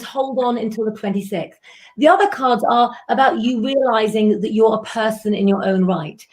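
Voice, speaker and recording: A woman reads cards for viewers on a live stream. Her voice sits fairly high but has a husky edge, and she talks in a chatty, reassuring way, slowing down on the key points. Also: darkish tone, rough recording. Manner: reassuring, chatty, slows for emphasis. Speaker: psychic tarot reader. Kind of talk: live-stream reading, casual chat.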